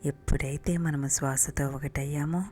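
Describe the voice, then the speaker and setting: Very low-voiced woman guiding meditation in soft breaths. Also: steady tone, normal speed, a little hiss or hum. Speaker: meditation guide. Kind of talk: guided meditation narration. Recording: music bed behind the voice. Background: meditation music.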